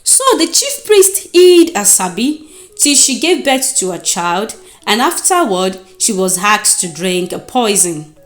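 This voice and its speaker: Animated Nigerian woman telling a folktale. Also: medium pitch, a little slow. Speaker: storyteller. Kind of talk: dramatic scripted folktale narration. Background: music.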